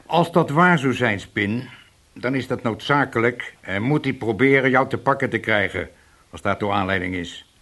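An actor playing a senior police chief in a Dutch radio play, fatherly but unbending, speaking with blunt, measured authority. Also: mid-range voice, expressive, bright, forceful tone. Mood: cool, firm.

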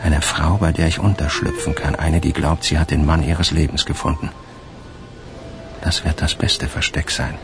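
A man's voice: a bass voice, little pitch movement, moderate pace, vocal fry. An actor playing a cynical, brooding man on the run, thinking aloud in a radio drama. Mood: calculating. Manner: brooding, self-questioning. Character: hunted male suspect, cynical, brooding, plotting to hide. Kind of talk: radio drama inner monologue. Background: music.

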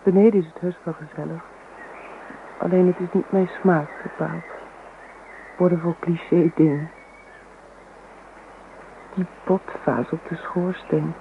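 A woman's voice: on the low side, fairly even intonation, a little slow, pauses often. A woman playing a bashful, earnest young girlfriend in a Dutch radio drama, hesitant and slightly prim. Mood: mildly discontented. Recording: muffled radio recording, buzzy noise.

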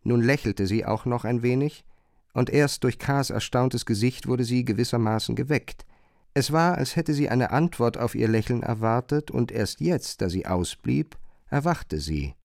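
A man narrating a literary radio play in a measured, precise way. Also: mid-range voice, lively intonation, gravelly, darkish tone, no room sound, studio quality.

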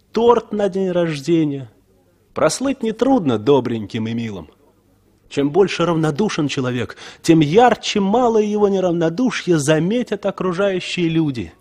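An actor playing a brooding, bitter passenger who moralizes in a measured, rhetorical way in a 1960s Soviet radio drama. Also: male voice, fairly high pitch, darkish tone.

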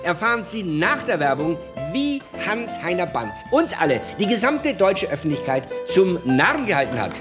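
An actor in a German radio drama playing a polished television talk show host with a smooth, probing manner. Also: male voice, sits high, very animated, moderate pace, few pauses, over music. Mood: brisk, announcing.

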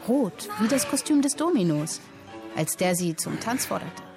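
A woman acting as storyteller, narrating a historical tale in a radio play with deliberate, vivid phrasing. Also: mid-range voice, sing-song. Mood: vivid, dramatic. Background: music.

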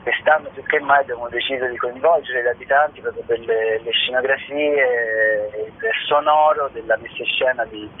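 A man, giving an unscripted interview as an artistic director, hesitant and often repeating himself as he searches for words. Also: mid-range voice. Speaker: theatre festival artistic director being interviewed. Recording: tinny phone line with clear noise.

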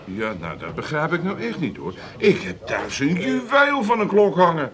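An Amsterdam hustler in a radio comedy. His voice is on the high side, with smooth, coaxing sales patter. A lively crowd chatters behind him. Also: moderate pace. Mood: chummy, nosy. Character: smooth-talking Amsterdam hustler selling dubious goods. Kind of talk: 1980s radio comedy acting.

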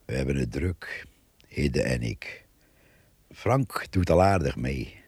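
An actor playing a musing farm worker narrating in a radio play, reflective, unhurried and colloquial. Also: male voice, rumbling low, animated, a little mellow, husky, dry recording, heavily acted.

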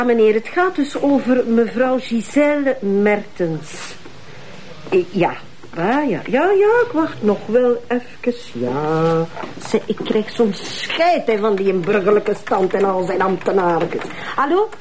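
A woman's voice: mid-range voice, lively intonation, slow pace, darkish tone, a little hiss or hum, rough recording. A Flemish woman playing a harried, informal police detective who gets easily flustered, in a TV crime drama. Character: harried, casual female police detective juggling phone calls. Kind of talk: naturalistic TV crime drama acting.

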